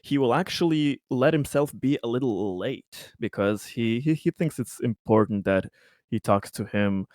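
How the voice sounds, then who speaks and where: A man playing a tabletop roleplaying game, casually describing his character's actions with halting, repeated words. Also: medium pitch, lively intonation, a little mellow, dry recording.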